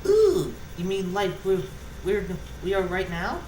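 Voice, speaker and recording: A man voicing a giggly, excitable young female cartoon pony in a bubbly, eager manner, in a roomy, noisy recording. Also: sits high, unhurried, far from the mic, voice pushed up. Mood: excited.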